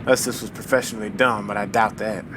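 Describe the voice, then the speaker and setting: A man's voice: steady tone, fast talker, close to an everyday voice. Man playing a plain-spoken sleuth amid train noise. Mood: measured, deliberating. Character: matter-of-fact male amateur sleuth in Old West. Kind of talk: period radio mystery drama acting. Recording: train rumble behind the voice.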